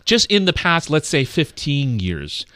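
Male radio co-host from Beijing, middle-aged, native Mandarin, expressive and animated delivery. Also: fairly high pitch, a little slow, dry recording. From Beijing, China. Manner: expressive, laughs easily. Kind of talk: casual radio chat. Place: radio studio.

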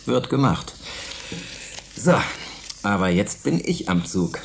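Impulsive space pilot, swinging low voice. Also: male voice, sing-song, slow, gravelly, slight background noise, full character voice. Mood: eager, persuasive. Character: Jan, impulsive, optimistic male space pilot plotting an escape. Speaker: radio drama actor. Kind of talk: German science fiction radio play acting.

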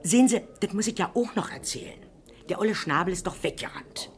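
A woman's voice: medium pitch, expressive, a little fast, lightly acted. An actress playing a nosy, garrulous working-class woman, an eager eyewitness with colloquial speech in a radio crime play. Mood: excitedly gossiping. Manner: garrulous, colloquial, self-important.

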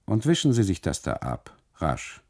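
A man's voice: deep voice, wildly swooping pitch, moderate pace, darkish tone, heavily acted. A man voicing a suspicious, scolding Italian custodian in a radio play, pointed and insistent in his reproaches. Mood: stern reproach.

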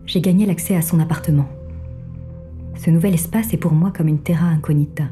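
A cool, secretive female machine mind voiced by a woman narrates in measured, literary phrases. Her voice sits slightly low and is very mellow. Faint music runs behind this audio drama performance. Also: close to an everyday voice. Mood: quietly exultant. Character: female artificial intelligence, covert, cool, calculating, exultant. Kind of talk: scripted audio drama narration in first person.

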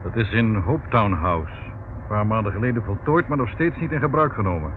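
An actor playing a brisk, unflustered police commissioner in a radio play, with a clipped, authoritative manner. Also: male voice, fairly low pitch, lively intonation, close to an everyday voice. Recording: narrowband old radio recording, faint noise.

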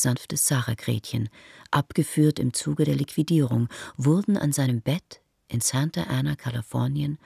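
Very deep, husky woman narrator. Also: dark tone, no room sound, clean studio sound. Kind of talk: literary radio play narration.

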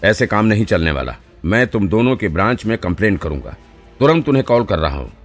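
A man's voice: fairly low pitch, sing-song, a little fast. Man voicing a senior police trainer, angry, exasperated, scolding, raised voice, audio story, background music. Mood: angry threatening. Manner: scolding, indignant, shouting. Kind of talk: dramatized audio story dialogue.

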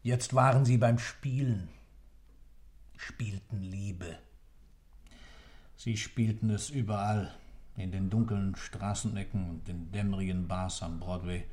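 A man's voice: lowish voice, mellow, lightly acted. An actor playing an accused man who tells a story in an intense, theatrical, emphatic way, sometimes dropping to a whisper, in a literary radio play. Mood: somber narration.

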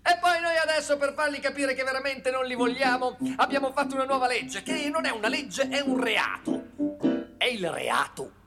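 A man's voice: extremely high pitch, normal speed. A man performs a theatrical satirical monologue in a dramatic, colloquial, sardonic storytelling manner, leaning on rhetorical repetition. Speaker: stage monologist. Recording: loud live guitar music accompaniment. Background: plucked guitar music.

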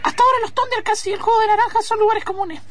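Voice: very high-pitched, steady tone, racing, far from the mic, a crowd in the background. A performer voicing a clever, wary ten-year-old boy in an improvised radio comedy. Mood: earnest, proud.

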